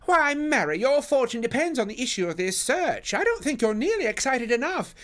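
Gushing genteel lady, acted by a man, high-pitched and emphatic, romantic and excitable, classic storybook character. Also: fairly quick, exaggerated voice. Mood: excited. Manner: exclamatory, gushing.

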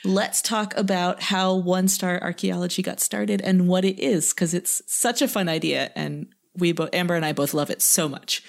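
A woman's voice: on the low side, normal speed, creaky, hardly any pauses. A woman co-hosting a podcast, interviewing guests in a casual, enthusiastic, chatty way.

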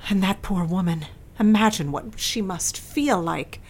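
A woman's voice: medium pitch, measured pace, husky. Cold, controlling mother, played by a woman, middle-aged. Cutting, melodramatic delivery for audio drama. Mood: bitter, accusing. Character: cold, scornful, controlling mother of a grown daughter.

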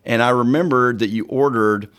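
A man's voice: mid-range voice, steady tone, normal speed, a bit bright, clear, resonant tone. A middle-aged man hosting a podcast in a law firm conference room, upbeat, friendly and sincere. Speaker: podcast host and lawyer. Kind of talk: casual podcast chat.

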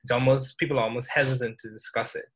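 A man from the Bahamas with a mid-pitched voice hosts a conversational interview. He is deliberate, often restating a point or question in other words. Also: fairly even intonation, husky. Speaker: podcast host, interviewer.

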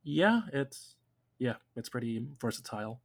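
A man's voice: medium pitch, big pitch swings, a little slow. A young man chats casually on a podcast, trailing off and restarting as he goes. His delivery is jokey and laid-back, with plenty of fillers. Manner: joking, rambling, lots of fillers. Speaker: podcast co-host.

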